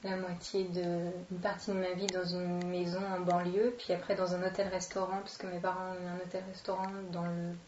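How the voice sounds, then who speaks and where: A woman's voice: lowish voice, monotone, a little fast, few pauses, a little mellow. A woman in her late twenties with an accent from France, talking casually and hesitantly as she introduces herself in an interview. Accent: French, from France. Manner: informal, hesitant, thinking aloud. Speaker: interviewee, actress and children's entertainer. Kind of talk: casual spoken self-introduction. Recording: roomy, dull, distant microphone, noisy.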